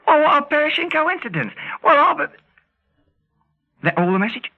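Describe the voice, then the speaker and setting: A plucky Cockney chap in an old radio adventure, fairly high and very animated. He is chirpy, cheeky and slangy, on a dull, band-limited recording. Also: normal speed. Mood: excited, chirpy. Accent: English Cockney. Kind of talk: vintage radio serial acting.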